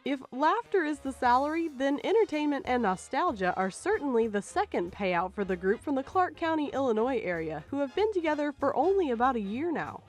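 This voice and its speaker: A woman's voice: high voice, sing-song, fairly quick, hardly any pauses, soft background music. A woman giving scripted narration for a television feature.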